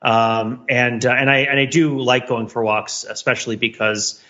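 A man's voice: mid-range voice, steady tone, moderate pace, sharp tone, lo-fi sound. A middle-aged man co-hosting a podcast, chatting casually and reflectively in a discursive way, often correcting himself. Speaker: podcast co-host.

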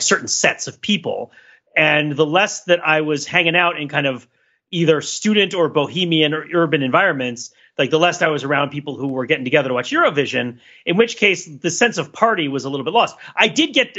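A man's voice: a little high, bright, forceful tone, husky, lo-fi sound. A man talking casually as a podcast co-host, discursive and jokey, wandering through asides.

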